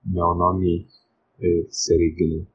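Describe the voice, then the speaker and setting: A Senegalese man in his twenties speaking slow, simple Portuguese as a learner, telling his own story in a recorded testimony. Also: low-pitched, completely flat delivery. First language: not Portuguese. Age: 20s. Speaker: interviewee. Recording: slightly dull recording under soft music.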